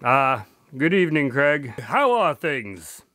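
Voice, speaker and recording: An actor playing a doctor who tells his cases to a visitor, speaking in a measured, somber way. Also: mid-range voice, very animated, pushed and bright, dry recording, studio quality, heavily acted. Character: Dr. Watson's grandson, a doctor who narrates cases. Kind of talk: audio drama acting.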